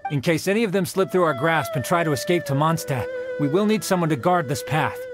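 A man acts out a young male soldier of a fantasy game guard, with a fairly high voice. He is earnest and dutiful, speaking in formal, courteous, measured lines over clear background music. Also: animated, close to an everyday voice. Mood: formal, reassuring. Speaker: game character giving quest briefings. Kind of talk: scripted video game dialogue.

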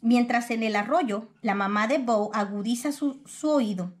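Mujer de voz aguda leyendo un cuento. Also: female voice, on the high side, measured pace. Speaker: picture book reader. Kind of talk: expressive children's storybook narration.

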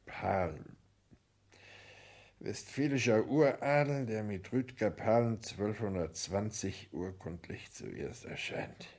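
An actor playing a proud, reserved middle-aged count in a 1960s German radio play, speaking formally and with stately diction. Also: male voice, lowish voice, takes it slowly, darkish tone. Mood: musing, nostalgic. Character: reserved, class-proud aristocratic count, father of a grown daughter.

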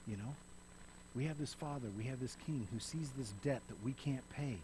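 A middle-aged man preaching on a church stage, swinging between loud emphasis and soft, hushed passages. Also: mid-range voice, normal speed, noisy background. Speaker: pastor. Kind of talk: sermon. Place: church stage, in front of a lit backdrop.

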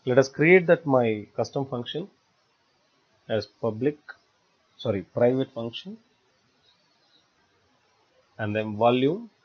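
A man narrating a step-by-step software tutorial in a halting, explanatory way. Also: mid-range voice, animated. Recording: dull lo-fi voice-over recording.

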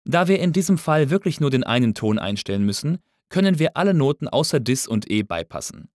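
A man narrating a scripted tutorial as an instructor, explaining things clearly and methodically. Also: mid-range voice, big pitch swings, brisk, a little mellow, no room sound, studio quality. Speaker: audio engineering instructor.

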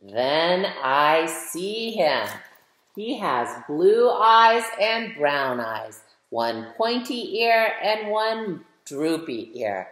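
A woman's voice: fairly low pitch, big pitch swings, drawn-out words, fairly bright tone. A woman of fifty reading a picture book aloud expressively, speaking directly to young listeners with questions and emphasis. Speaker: children's author reading aloud. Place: living room at home, indoors. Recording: roomy indoor webcam echo.

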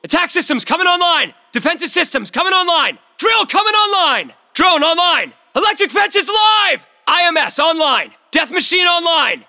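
An actor playing a tough squad soldier in a military shooter game, giving urgent, clipped battlefield callouts. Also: male voice, extremely high pitch, very animated, moderate pace, few pauses, sharp tone, shouting, in a large hall, exaggerated voice. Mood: urgent shouting. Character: tough male soldier on a squad fighting aliens.

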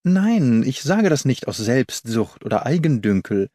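A man's voice: medium pitch, big pitch swings, unhurried, mellow, no room sound, clean studio sound. A man voicing a haughty, didactic detective in an audiobook, pompous and precise in his lecturing. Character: haughty, didactic male detective, proud of cold logic. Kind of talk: German audiobook character reading.

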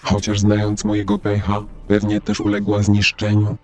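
A man's voice: on the low side, completely flat delivery, moderate pace. A man narrating a video game as a story, speaking as the player character.

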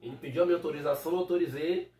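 A man's voice: a little high, fairly even intonation, racing, mellow. A middle-aged man talking casually to camera as host, in a small room. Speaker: channel host. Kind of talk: casual vlog introduction to camera. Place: small home room with computer, indoors. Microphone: camera a short distance away. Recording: roomy, slightly distant microphone.

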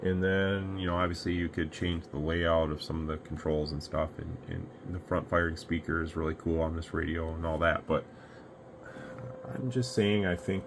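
Very deep-voiced American man, flat delivery. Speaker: radio reviewer, video host. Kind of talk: casual unscripted product commentary. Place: indoors at an electronics radio bench. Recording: steady background noise, room ambience.

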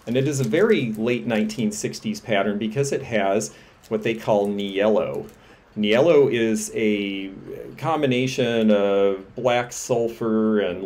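Man, middle-aged, slightly low voice, chatty, enthusiastic, explaining antiques, indoor camera recording. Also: a little mellow. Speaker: antiques dealer. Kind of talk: show-and-tell selling vlog. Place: indoors against a textured wall.

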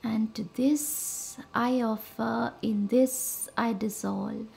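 A woman leads a guided meditation in short, soothing, measured phrases with reverent pauses. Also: a little high, fairly even intonation, creaky, dark tone. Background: music.

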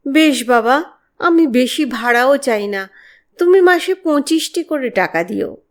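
A woman's voice: high-pitched, animated, unhurried. A woman voicing a meek, eager man in an agreeable, pleading manner for an audio story. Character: meek, eager male landlord and tenant.

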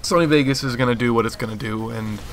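A hoarse young man in his twenties, rambling casually through a channel update for his viewers. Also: medium pitch, quick pace, a little hiss or hum. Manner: rambling, relaxed, many fillers. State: hoarse from allergies. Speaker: gaming channel host.

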